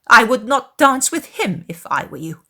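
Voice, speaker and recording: Lady doing a fussy gossipy middle-aged mum, all indignant and emphatic, pitch all over the place, audiobook drama. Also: very animated, moderate pace, pushed and bright, heavily acted. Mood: insistent. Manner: emphatic, swooping, exclaiming. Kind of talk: dramatized audiobook of a classic novel.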